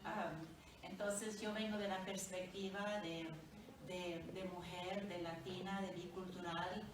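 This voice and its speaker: A woman's voice: lowish voice, fairly even intonation, slow, noisy background. Hesitant woman, echoey distant room. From Honduras, raised in the United States. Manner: hesitant, searching for words with fillers. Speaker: family therapist and researcher. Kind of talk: book presentation remarks.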